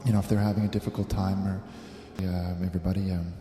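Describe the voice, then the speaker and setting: A man hosting a live religious call-in show, speaking in a halting, hesitant, earnest way. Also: deep voice, level tone, music in the background. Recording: echoey, reverberant room.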